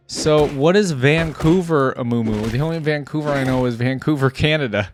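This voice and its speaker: A man's voice: medium pitch, moderate pace, music in the background. A man giving casual, off-the-cuff reaction commentary, like a video creator talking through what he sees.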